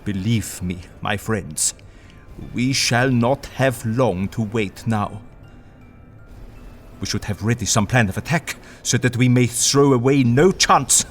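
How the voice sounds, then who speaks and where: An actor playing an earnest, commanding old professor in an audio drama, speaking in formal, archaic phrases with grave emphasis. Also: male voice, mid-range voice, a bit bright.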